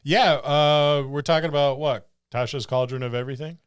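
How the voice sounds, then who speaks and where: A man's voice: fairly high pitch, steady tone, normal speed, clear, resonant tone, no echo. A middle-aged man co-hosting a podcast, chatting casually about tabletop gaming with dry, wry teasing. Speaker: podcast co-host.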